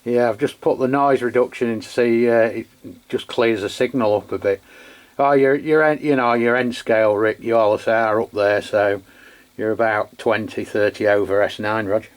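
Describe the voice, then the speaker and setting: An English man hosting a radio demo in casual unscripted chat, halting and rambling as he corrects himself. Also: fairly low pitch, fairly even intonation. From England. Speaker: amateur radio channel host. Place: beside his radio set, filming the equipment.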